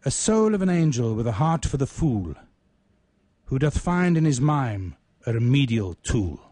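Guy poet hosting a radio show, bit theatrical, normal pitch, real dry sound. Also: very animated, unhurried, dark tone, dry recording. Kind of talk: casual reminiscing and dramatic poetry recital.

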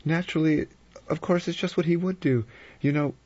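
A man's voice: a little high, fairly even intonation, brisk, a little mellow, breathy edge, highs cut off, pitched above the performer's own voice. A man voicing an anxious young woman in an audiobook, with a pleading, urgent manner. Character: anxious young Englishwoman, frightened and insistent. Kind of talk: audiobook character acting.

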